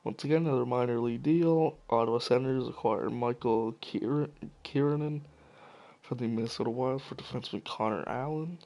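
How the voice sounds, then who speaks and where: A man talks through the news on his own in a loose, cursing way and trips over names now and then. His voice is gravelly and worn, as if it is slowly giving out. His pitch sits in an ordinary middle range. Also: mellow, highs cut off. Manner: profane, offhand, stumbles over names. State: losing his voice. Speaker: hockey video commentator. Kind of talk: casual solo commentary.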